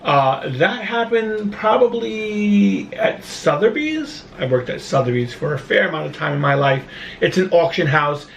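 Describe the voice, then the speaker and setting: A man with a Brooklyn accent talks casually and slowly, with a lively pitch that swings up and down. He pauses, restarts and searches for words. His voice sits a little back from the mic, with faint room noise behind. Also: gravelly, reverberant, distant mic. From Brooklyn, New York. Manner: halting, with frequent restarts and slow stretches. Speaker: vlogger. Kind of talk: casual chat.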